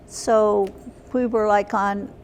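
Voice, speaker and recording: An older woman, in her seventies or beyond, recalling her life as an interview guest in a plain, halting way. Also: mid-range voice, little pitch movement, a little mellow, slight background noise. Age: 70s or older. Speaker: interview guest, military veteran. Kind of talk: reminiscing in an unscripted interview. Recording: long hall reverb.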